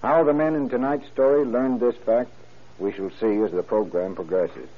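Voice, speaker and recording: A man's voice: mid-range voice, normal speed, very noisy. A man speaking as a county sheriff, reading a formal, stern scripted address in a stiff way. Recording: muffled 1930s radio broadcast.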